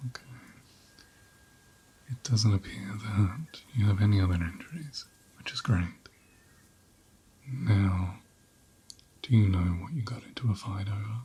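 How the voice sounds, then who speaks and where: A man playing a calm, caring ram who looks after his herd, speaking in a gentle, breathy, often whispered ASMR style close to the microphone. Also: lowish voice. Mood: gentle, attentive. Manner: gentle, soothing, soft-spoken, unhurried advice-giving.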